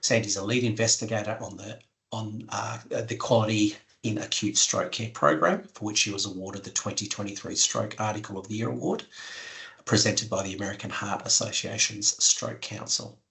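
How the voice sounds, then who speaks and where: A low, bright-edged man whose voice is croaky from a cold. He moderates a webinar, introducing the next speaker with halting fillers. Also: rough recording. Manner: hesitant introductions.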